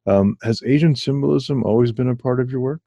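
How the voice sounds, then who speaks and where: A man interviewing an artist, asking measured questions with frequent ums. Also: medium pitch, vocal fry, warm tone, breathy edge, no room sound.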